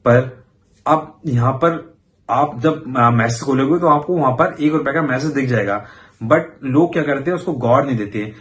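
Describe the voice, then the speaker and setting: A man's voice: mid-range voice, moderate pace, fairly bright tone. A man hosting an informal explainer for viewers, talking conversationally and mixing in English words. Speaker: host of a banking awareness video channel.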